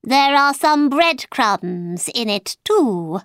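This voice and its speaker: An eccentric, irritable storybook gentleman at a tea party, played by a woman. He is blunt and emphatic, with raised pitch, very drawn-out words and big swings in tone. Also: big pitch swings, no room sound, studio quality, heavily acted. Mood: matter-of-fact.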